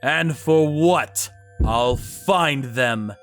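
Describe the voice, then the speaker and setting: Guy voicing a hardboiled torture guy telling the story, flat grim delivery, average pitch. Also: very slow, soft background music. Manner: grim, steady narration. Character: hardboiled male vigilante torturer narrator. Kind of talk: manga dub narration.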